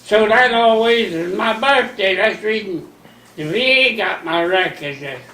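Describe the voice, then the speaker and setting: Older man, 90s, bright folksy storyteller, echoey room. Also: sits high, expressive. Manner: folksy, unhurried storytelling with plain rural grammar. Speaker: interviewee. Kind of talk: rambling recollection.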